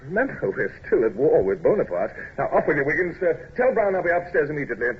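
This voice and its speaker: A man's voice: on the high side, sing-song, fast, husky, exaggerated voice. A man acting a proud, self-conscious English naval captain in an old-time radio drama, brusque and clipped but hesitant when flustered. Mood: excited, brisk. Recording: muffled, hissy old radio recording.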